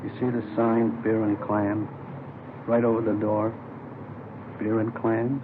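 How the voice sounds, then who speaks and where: A man's voice: lowish voice, level tone, slow. An American man playing a chatty, easygoing small-time police informant in a 1950s radio crime drama, folksy and rambling. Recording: old radio, phone-like band, faint noise.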